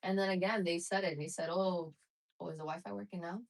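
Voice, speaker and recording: Chatty woman livestreaming, lowish voice. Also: steady tone, fairly quick, vocal fry. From United States. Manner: chatty, frank, answers chat messages as she goes. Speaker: live streamer. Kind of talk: casual live chat with viewers.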